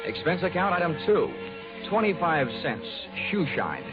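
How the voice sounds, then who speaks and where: An American actor playing a cocky, flippant insurance investigator with wry, breezy delivery in a 1940s radio detective drama. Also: male voice, fairly high pitch, big pitch swings, moderate pace. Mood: dry, businesslike. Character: wisecracking, cocky freelance male insurance investigator. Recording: dull 1940s radio recording with music.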